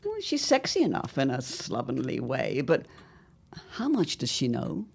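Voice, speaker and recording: A scheming, haughty middle-aged mother, played by a woman in an audio drama. Her voice is low and gravelly, and it swoops widely as she speaks in grand, theatrical, drawn-out phrases. She sounds shrewd and entirely sure of her power. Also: huge pitch swings, darkish tone, full character voice. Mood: cool, calculating. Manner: grand, theatrical, drawling delivery.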